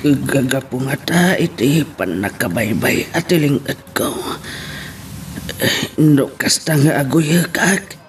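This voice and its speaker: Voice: medium pitch, lively intonation, slow pace. An actor playing a frail, worried old grandfather in a radio drama, sighing and groaning plaintively. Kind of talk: Ilocano radio drama acting. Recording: noisy radio drama with ambience.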